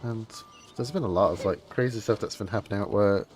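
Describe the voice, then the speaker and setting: A man chatting casually while livestreaming a game, in a rambling, self-interrupting, low-key way. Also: brisk. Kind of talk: casual stream chat. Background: soft game music.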